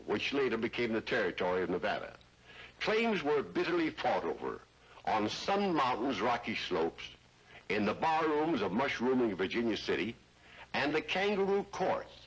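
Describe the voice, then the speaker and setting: Husky, animated male narrator. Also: medium pitch, rough recording. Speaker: western programme narrator. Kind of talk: vintage scripted western narration.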